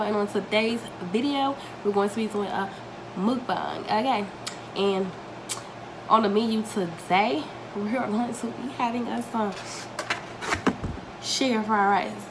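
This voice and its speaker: Chatty young woman eating on camera. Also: medium pitch. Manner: chatty, talks straight to viewers while eating. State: congested from a cold. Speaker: mukbang vlogger. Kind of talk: casual chat while eating. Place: home dining room, indoors. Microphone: camera mic at table distance. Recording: home recording with noticeable room noise.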